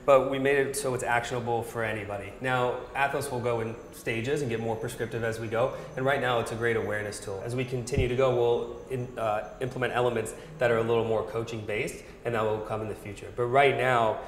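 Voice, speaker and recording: Fitness brand rep, man in his thirties, rapid instructive delivery, echoey gym recording. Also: medium pitch, steady tone, a little hiss or hum. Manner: fluent, instructive, coach-like. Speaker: startup product specialist. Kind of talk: product demo explanation. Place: large indoor gym with arched hallway.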